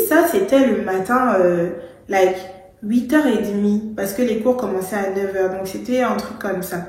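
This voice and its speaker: A woman's voice: medium pitch, darkish tone, heavy reverb. A young woman from Gabon chatting casually as she tells a storytime, with many fillers and some English words. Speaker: vlogger.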